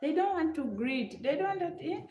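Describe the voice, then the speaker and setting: A woman's voice: sits high, lively intonation, normal speed, a little mellow, roomy sound, lo-fi sound, pitched above the performer's own voice. A woman with a Ugandan accent voicing an exasperated middle-aged mother who grumbles that her children never greet guests.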